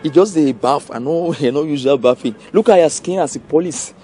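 A man's voice: fairly high pitch, a little fast, faint music behind, lightly acted. A man with a Nigerian accent playing a boastful drinker who puts on a showy, slangy swagger in a comedy film. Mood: teasing, pleading. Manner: showy, animated slangy posturing. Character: boastful drinker putting on a returnee-from-abroad swagger, then pleading.

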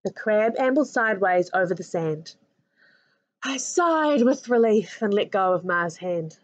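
Expressive New Zealand woman reading a storybook. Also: mid-range voice, measured pace, dull audio. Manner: expressive, sing-song rhyming delivery. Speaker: author reading her picture book aloud. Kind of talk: storybook read-aloud for children. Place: living room at home. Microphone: webcam at arm's length.

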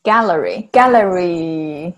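A woman's voice: fairly low pitch, animated, slow pace, dull audio. Young woman with a Mandarin Chinese accent, patiently explaining. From Northeast China. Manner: patient explaining, frequent fillers and soft laughs. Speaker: Chinese teacher. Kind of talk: casual language lesson on a video call. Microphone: video call, earphone mic.

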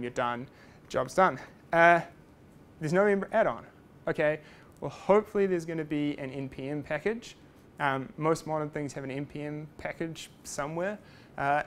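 A man's voice: a little high, slight background noise. A man giving a casual technical talk at a meetup, chatty and self-deprecating with lots of fillers. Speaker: meetup speaker. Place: meetup room.

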